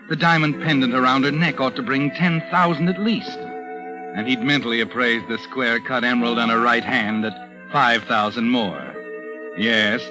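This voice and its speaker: A man playing a sinister, all-knowing mystery narrator in an old radio drama, with measured, knowing delivery. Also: medium pitch, natural voice, low-quality recording. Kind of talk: 1940s radio suspense narration. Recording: old radio recording with music underneath.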